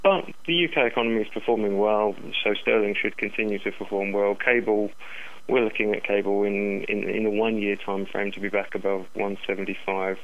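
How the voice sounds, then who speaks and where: A man giving market commentary as an analyst in a phone interview, halting and repeating words, over a dull telephone line. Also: fairly low pitch, fairly even intonation. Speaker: currency analyst, interview guest.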